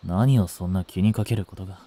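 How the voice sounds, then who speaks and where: A man's voice: deep voice, a little fast, warm tone, lightly acted. A man playing a devoted, jealous young butler in a voice drama, with formal, clipped, haughty speech. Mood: concerned, formal. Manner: formal, polite, clipped, turning contemptuous toward a rival. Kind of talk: Japanese voice drama acting.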